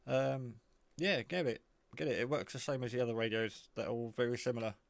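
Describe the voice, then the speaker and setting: A man giving a casual, off-the-cuff product demo, rambling in a low-key way as he thinks aloud. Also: fairly even intonation, brisk, gravelly, dry recording. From England. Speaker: hobbyist reviewer.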